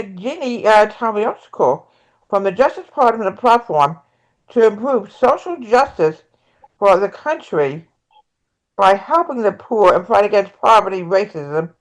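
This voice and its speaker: A woman playing an earnest progressive presidential candidate, reading a campaign speech haltingly from a script. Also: mid-range voice, animated, natural voice, poor audio. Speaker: actor in an amateur table read.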